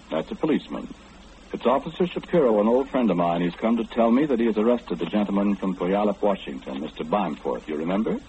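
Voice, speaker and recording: An actor in an old-time radio play, playing a formal, fussy gentleman who calmly knows everything and speaks with matter-of-fact precision. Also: sits low. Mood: knowing, composed. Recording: dull, noisy old radio recording.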